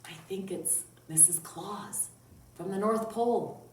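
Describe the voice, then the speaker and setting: Slow-paced woman storyteller in an echoey room. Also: mid-range voice, a little mellow. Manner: sing-song, playful, asks children simple questions. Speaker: children's library storytime host. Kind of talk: children's storytime talk, reciting poems and singing. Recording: roomy echo, distant mic, faint noise.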